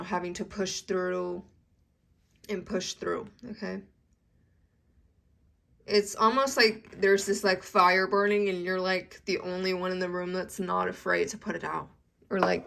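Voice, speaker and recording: A woman's voice: fairly low pitch, flat delivery, measured pace, vocal fry, a bit bright. A young adult woman giving a casual tarot reading to camera, chatty and full of "okay?" check-ins, her voice a little congested from allergies. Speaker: tarot reader.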